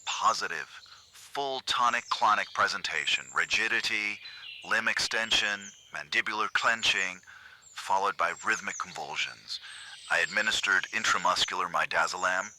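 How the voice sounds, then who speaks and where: A man's voice: deep voice, moderate pace. A man playing a clinical, matter-of-fact park veterinarian who reports in clipped technical phrases in a scripted horror drama. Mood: clinical, assured. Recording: faintly noisy, sharp-edged recording.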